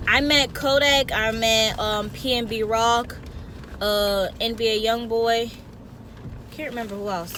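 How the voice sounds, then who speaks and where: A young woman vlogging a casual, chatty storytime from a car, animated and rambling, often interrupting herself. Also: fairly high pitch. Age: young adult. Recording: noisy car interior with traffic hum.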